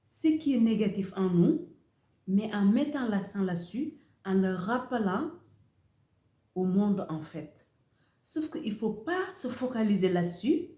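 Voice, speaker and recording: Slow-speaking woman, echoey room. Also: medium pitch, warm tone. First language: Wolof. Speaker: host of a motivational channel. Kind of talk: motivational talk to camera.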